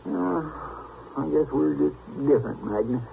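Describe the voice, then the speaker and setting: An actor playing a fretful, affectionate older brother in a radio western, with a stammering, folksy rural American drawl. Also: male voice, a little high, measured pace, gravelly. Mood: protesting, flustered. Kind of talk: old-time radio western acting. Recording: tinny, hissy old radio recording.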